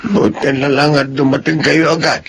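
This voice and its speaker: An actor playing a poor, grateful elderly man in a Filipino drama, speaking haltingly in a gravelly voice. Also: a little high, flat delivery, slight background noise. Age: older. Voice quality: gravelly, creaky. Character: poor, grateful elderly brother caring for his sick sister. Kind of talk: Filipino radio-style drama acting.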